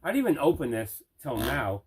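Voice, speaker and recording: A man's voice: medium pitch, very animated, fairly quick, vocal fry, breathy edge. Man casually showing off his movie collection. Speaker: host of a movie collection unboxing show. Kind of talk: casual unboxing chat.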